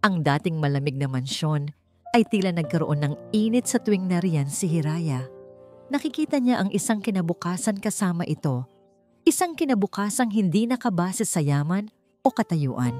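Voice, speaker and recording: A woman's voice: lowish voice, big pitch swings, normal speed, a little mellow, faint music behind. A woman narrating a scripted romance story in a dramatic storytelling style.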